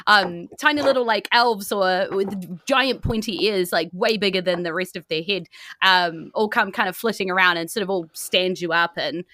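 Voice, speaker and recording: Kiwi woman running a tabletop game, bright voice, dry recording, describing stuff off the cuff. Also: on the low side, no echo. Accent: New Zealand. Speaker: game master. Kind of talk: improvised tabletop game narration.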